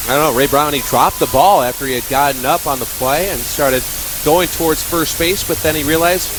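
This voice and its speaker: A man's voice: fairly high pitch, a little fast. A man giving conversational, explanatory color commentary during a live radio baseball broadcast. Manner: conversational, explanatory recapping of plays. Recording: hissy, static-laden radio broadcast recording.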